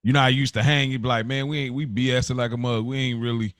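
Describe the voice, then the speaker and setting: An American man in his thirties co-hosting a podcast in casual, slangy talk, telling things in an animated way and repeating words for emphasis. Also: mid-range voice, fast talker, no echo, clean studio sound. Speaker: podcast co-host. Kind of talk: casual unscripted podcast chat.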